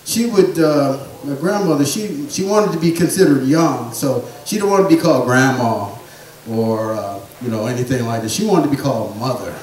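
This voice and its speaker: A man telling rambling family stories in a stand-up set, with a soft voice he says he has. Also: mid-range voice, moderate pace, very echoey, distant mic, a little hiss or hum, low-quality recording. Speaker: stand-up comedian. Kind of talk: storytelling stand-up comedy. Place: small theatre or bar stage.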